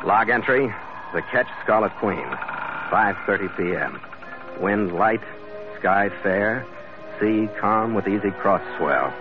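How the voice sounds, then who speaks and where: An actor playing a tough, wry sea captain who narrates his own adventures in 1940s radio style. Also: on the low side. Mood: formal. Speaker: lead actor narrating the story. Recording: dull old radio recording, loud music behind.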